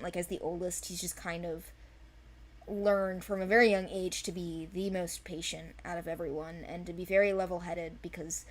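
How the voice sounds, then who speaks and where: A woman chatting casually as a co-host, with a playful, informal manner, talking through fictional characters. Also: on the low side. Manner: giggly, playful, informal, acting out quotes. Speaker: co-host presenting an animated series project's characters. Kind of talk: casual chat.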